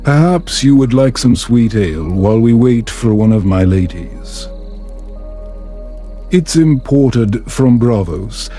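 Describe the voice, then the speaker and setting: Scheming highborn mother, read by a male narrator, gracious and persuasive, slow mellow delivery for a bedtime tale. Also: animated, soft background music. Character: scheming noble lady, mother, smoothly persuasive.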